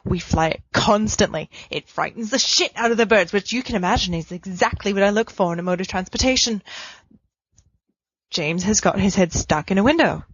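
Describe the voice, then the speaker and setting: A woman's voice: medium pitch, brisk, husky, no room sound. A woman reads a letter from a fan story aloud, playful and theatrical, her voice leaping up and down. The sound is dry and dull, like a lo-fi home recording. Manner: playful, theatrical reading with big swings. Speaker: podfic reader.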